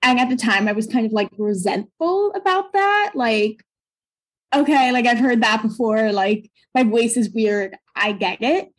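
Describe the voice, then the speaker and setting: A young American woman with a high, childlike voice chats in a casual interview. She speaks reflectively and a little hesitantly, finding her thoughts as she goes. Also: lively intonation, unhurried. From Pennsylvania, United States. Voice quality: childlike, youthful tone. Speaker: voice and stage actor, interview guest.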